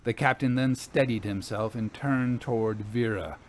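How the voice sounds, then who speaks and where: A man narrating a scripted ghost story in the first person with a deliberate, literary, dramatic delivery. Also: mid-range voice, slight background noise.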